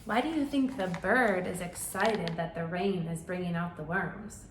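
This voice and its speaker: A woman's voice: lowish voice, unhurried, roomy sound, a little hiss or hum. A woman doing a read-aloud for young children, explaining simply and prompting them with questions.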